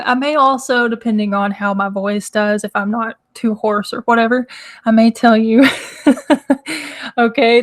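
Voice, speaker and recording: A woman hosting a casual live stream, chatty and rambling, with a hoarse, crackly, nasal voice from congestion. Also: a little high. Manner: chatty, rambling, laughs often. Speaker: host. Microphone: microphone.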